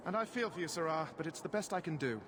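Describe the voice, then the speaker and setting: An actor playing a slick, defensive merchant in a video game, with theatrical, faux-sympathetic delivery. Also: male voice, fairly high pitch, animated, quick pace, over music, lightly acted. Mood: apologetic, firm. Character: harried, self-justifying male merchant, slick and defensive. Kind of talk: video game cutscene acting. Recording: clear outdoor ambience noise.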